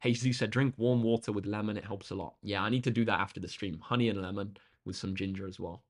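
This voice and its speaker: Casual male streamer, slightly croaky voice. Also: lowish voice, steady tone, quick pace. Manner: informal, appreciative, addresses viewers directly. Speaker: maths tutor live streamer. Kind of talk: casual livestream chat to viewers.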